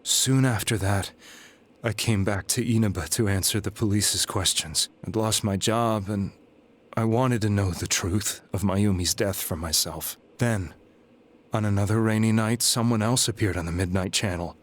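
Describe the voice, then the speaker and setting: An actor playing a despondent, guilt-ridden young man in a video game, telling his story in a grave, halting way. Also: fairly low pitch, natural voice. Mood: grave, subdued. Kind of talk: dramatic video game voice acting, confessional monologue. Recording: background music under the voice.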